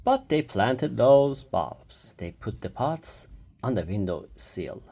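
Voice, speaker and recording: A storyteller reading a children's storybook aloud with deliberate, expressive phrasing. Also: medium pitch, gravelly.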